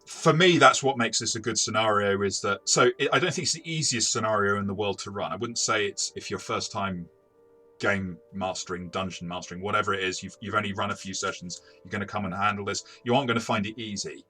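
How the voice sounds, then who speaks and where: A man in a conversational game review, speaking in a hesitant, halting way and often correcting himself. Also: on the low side, sharp tone, faint music behind. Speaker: game reviewer and experienced game master.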